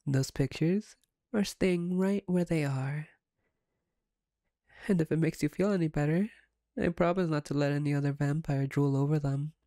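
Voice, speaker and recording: A woman's voice: low-pitched, moderate pace, pauses often, dark tone, no room sound, studio quality, close to an everyday voice. A woman playing a playful, domineering female vampire captor in an intimate ASMR roleplay, teasing and sardonic.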